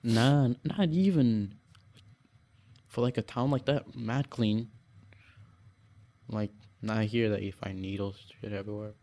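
Young man, dark voice, stammering casual chat. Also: on the low side, a little slow, pauses often. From Portugal. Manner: stammering, repeats words when excited. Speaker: podcast guest.

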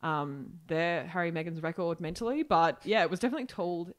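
A woman's voice: on the low side, brisk. A woman from Ireland with an Australian accent, a guest journalist talking in a rambling, chatty way full of fillers. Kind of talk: casual podcast chat.

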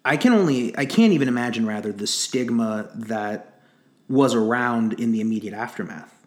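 A young man interviews casually in a halting, word-searching way. His pitch is average for a man, and the sound is noticeably roomy and echoey. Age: 20s.

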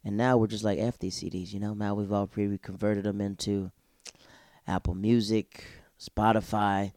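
A man's voice: moderate pace, no echo, studio quality. Rambling young man recapping a show. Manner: rambling, easily sidetracked, bursts of excited high-pitched outbursts. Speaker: solo podcast host recapping a TV show. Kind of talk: casual solo chat.

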